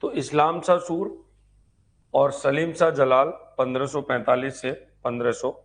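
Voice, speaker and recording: A man's voice: mid-range voice, moderate pace, a little hiss or hum. A man giving an informal live history class as a teacher, instructive, repeating key points and checking in with students. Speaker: history teacher for exam preparation.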